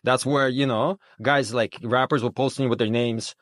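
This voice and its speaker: A man's voice: medium pitch, steady tone, moderate pace, a bit bright, no echo, clean studio sound. A man, originally from Russia, talking casually as a guest with lots of restarts and filler phrases. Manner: rambling, many restarts and "you know what I'm saying". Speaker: guest, documentary filmmaker. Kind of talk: casual interview talk.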